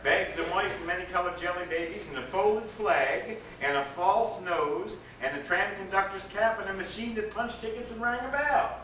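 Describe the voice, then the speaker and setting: A man, an actor, giving an expressive, dramatic reading of a literary story aloud. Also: high voice, normal speed, hardly any pauses. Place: indoor live reading to a gathering. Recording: echoey room, distant mic, background noise.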